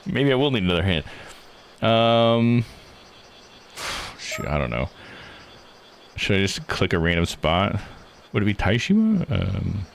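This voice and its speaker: A man streaming a visual novel, thinking out loud in casual live commentary as he reads and puzzles. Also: fairly low pitch, very animated, unhurried, creaky, pauses often, a little hiss or hum. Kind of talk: casual live game commentary, reading text aloud.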